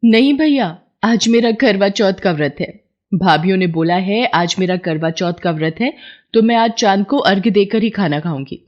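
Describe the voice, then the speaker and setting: A woman's voice: mid-range voice, normal speed, dull audio, lightly acted. A woman voicing a devout, stubborn, tender young wife in a folktale, with an earnest, emotive delivery. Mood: insistent, cheerful.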